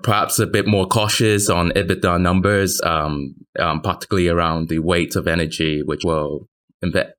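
Very low-pitched man, podcast guest. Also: fairly bright tone. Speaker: podcast guest, senior private equity reporter. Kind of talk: measured analytical interview answers.

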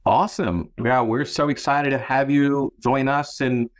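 A man's voice: medium pitch, steady tone, measured pace. A man hosting an interview podcast in a conversational style. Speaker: podcast host.

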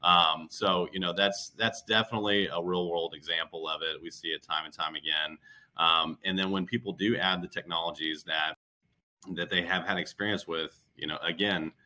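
A man's voice: sits low, steady tone, moderate pace, pushed and bright, poor audio. A man speaking as a recruiter on a panel, giving emphatic, conversational advice off the cuff. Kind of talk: unscripted advisory panel talk.

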